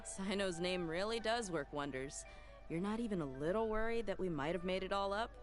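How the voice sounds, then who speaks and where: A woman's voice: medium pitch, animated, brisk, close to an everyday voice. A woman playing a confident, shrewd and teasing female mercenary in an anime video game. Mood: amused. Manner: teasing, self-assured. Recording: game music behind.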